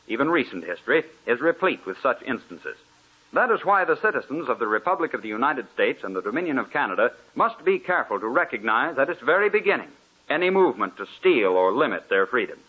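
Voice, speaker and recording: Husky, animated male radio announcer. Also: mid-range voice. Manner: formal, earnest, oratorical. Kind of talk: scripted 1940s radio sponsor address. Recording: muffled old radio recording.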